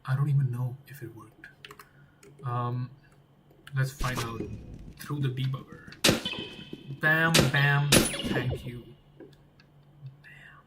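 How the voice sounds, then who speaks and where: A man's voice: mid-range voice, fairly even intonation, slow, pauses often, heavy background noise. A young man who is not a native English speaker, talking to himself while he works through game code, repeating words and trailing off. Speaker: game developer. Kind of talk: think-aloud coding vlog.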